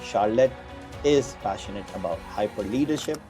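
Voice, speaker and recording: A man's voice: fairly low pitch, expressive, moderate pace, music in the background. A man hosting a podcast interview, chatting loosely with fillers and restarts. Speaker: podcast host. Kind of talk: casual interview chat.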